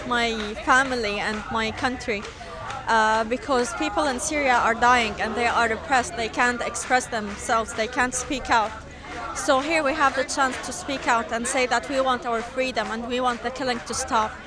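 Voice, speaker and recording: A woman's voice: on the high side, steady tone, normal speed. A woman at a street protest speaking steadily and earnestly. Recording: outdoor rally with crowd noise.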